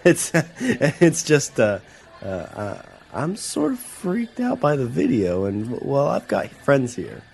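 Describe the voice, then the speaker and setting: A man's voice: mid-range voice, huge pitch swings, slow pace, creaky, darkish tone, a little hiss or hum, heavily acted. An actor playing a nervous small-town father who stammers and hesitates in an audio drama. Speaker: witness.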